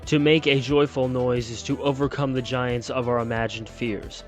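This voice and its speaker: A man's voice: medium pitch, steady tone, moderate pace, faint music behind, close to an everyday voice. A man voicing a smiling male television preacher who sermonizes in a sing-songy cadence. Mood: fervent. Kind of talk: storybook reading.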